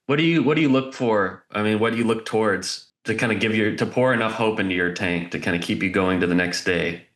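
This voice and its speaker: A man's voice: on the low side, brisk, hardly any pauses, a bit bright, roomy sound. A man co-hosting a podcast, asking friendly questions in a casual interview. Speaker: podcast co-host.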